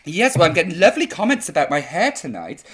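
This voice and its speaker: Drag host guy, croaky voice, high and bouncy, camp and chatty. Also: wildly swooping pitch, fast, fairly bright tone. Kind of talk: live-streamed chat show, reading viewer comments.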